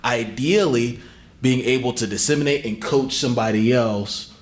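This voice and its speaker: A man's voice: medium pitch, moderate pace. A man talking casually in a reflective, motivational coaching manner, often checking in with the listener.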